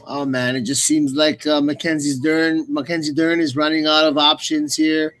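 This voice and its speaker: Male color commentator, somewhat high pitch, breaking down the action analytically for a sports broadcast. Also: flat delivery, normal speed, a bit bright, a little hiss or hum.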